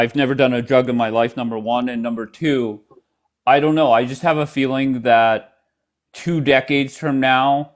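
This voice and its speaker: Male livestream host, mid-pitched, blunt and probing with callers. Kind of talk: casual live debate chat.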